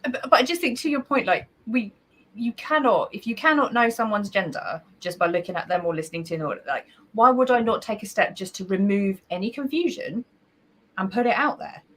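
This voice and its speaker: A woman's voice: mid-range voice, expressive, fairly quick, low-quality recording. A woman speaking on a panel over a video call, persuasive and emphatic with lots of casual fillers. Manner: emphatic, persuasive, uses "like" and "you know".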